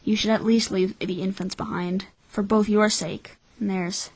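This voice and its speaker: A woman voicing a cynical, calculating twelve-year-old orphan boy in a measured, blunt anime-style fan dub. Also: medium pitch, little pitch movement, darkish tone, breathy edge, dry recording. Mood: resigned, blunt.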